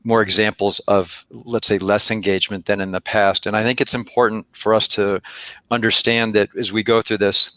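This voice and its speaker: A man's voice: lowish voice, little pitch movement, normal speed, bright, forceful tone, no echo. A man speaking as a CEO on a video-call panel, earnest and rambling with many fillers.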